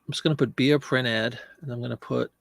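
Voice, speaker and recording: Brief: middle-aged male host with a cold, gravelly and congested, rambling live-stream chat, dry close sound. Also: mid-range voice, fairly even intonation, fast, no echo. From Pennsylvania. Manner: chatty, rambling, answers viewer chat. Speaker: live-stream eBay seller.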